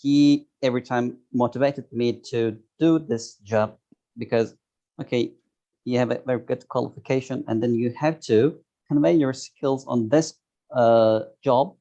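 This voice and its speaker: A man in his thirties with a Turkish accent, a guest on a video call interview, talking haltingly and searching for words. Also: medium pitch, steady tone, mellow. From Turkey. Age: 30s. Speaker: guest, software tester. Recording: dull lo-fi video call audio.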